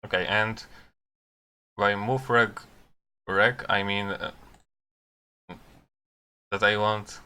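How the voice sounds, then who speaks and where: A man who is a non-native English speaker gives unscripted live coding commentary, thinking aloud haltingly with long pauses. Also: lowish voice, flat delivery, bright, forceful tone, clear, resonant tone. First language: not English. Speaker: programmer live-streaming his coding.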